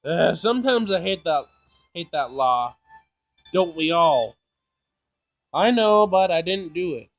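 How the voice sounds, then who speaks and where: A man's voice: a little high, expressive, slow, long pauses, soft background music. A man doing a casual let's play, reading game text aloud and reacting in an informal, sassy way. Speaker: gaming video player.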